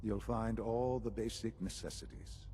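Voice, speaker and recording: An actor playing a calm, aging scientist leader in a video game, speaking in a measured, formal, paternal way. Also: male voice, fairly low pitch, slight background noise, natural voice. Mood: composed, grave. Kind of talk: video game character dialogue.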